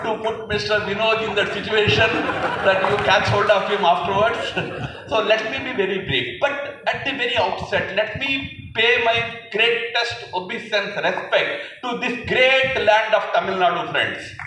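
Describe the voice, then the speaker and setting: A man speaks in at a public function, telling stories with a jovial, unhurried manner. His voice sits high for a man. He is heard from a distance in a booming, echoey hall. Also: noisy background. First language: Telugu. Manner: jovial, storytelling, with pauses and fillers. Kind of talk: public speech with humorous anecdotes. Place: large echoey hall with an audience.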